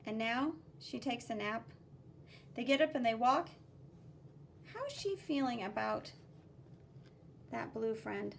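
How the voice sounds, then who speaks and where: High-voiced woman reading slowly to children. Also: lively intonation, vocal fry, a little hiss or hum. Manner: simple, instructive, sing-song emphasis on key words. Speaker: art teacher reading a picture book. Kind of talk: expressive read-aloud with drawing commentary for children.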